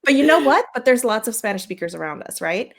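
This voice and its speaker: A woman's voice: on the high side, quick pace, a loud crowd. A woman host whose first language is Vietnamese, talking enthusiastically and animatedly in a casual interview. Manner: enthusiastic, animated, laughs often. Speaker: podcast host. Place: Miami.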